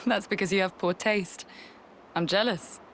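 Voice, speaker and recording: A woman voicing a calm, formal, dignified military commander in a video game. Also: mid-range voice, takes it slowly, a little hiss or hum, exaggerated voice. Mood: dryly teasing. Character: composed, dignified female military commander, dryly teasing. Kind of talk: scripted video game voice acting.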